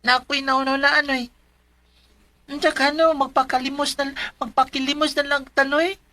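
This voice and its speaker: A woman's voice: high voice, flat delivery, normal speed, bright, forceful tone. A woman with a Cebuano Filipino accent voicing a sickly, suffering young boy, whiny and pitiful, in a radio drama. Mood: sad, pleading. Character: sickly, suffering little brother, young child.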